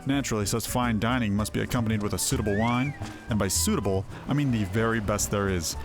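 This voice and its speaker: A man's voice: lowish voice, fast talker, close to an everyday voice. A man voicing a stern, sceptical veteran mercenary captain in a fantasy game, speaking in a blunt, formal, archaic way. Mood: commanding. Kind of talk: voiced fantasy game dialogue. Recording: game music behind voice. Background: game music.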